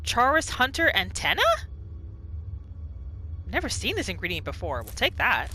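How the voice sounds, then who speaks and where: A woman's voice: medium pitch, huge pitch swings, normal speed, pushed and bright, a little hiss or hum. A woman narrating a roleplay game playthrough, reacting with exclamations and talking to the game's characters. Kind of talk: reactive let's-play commentary.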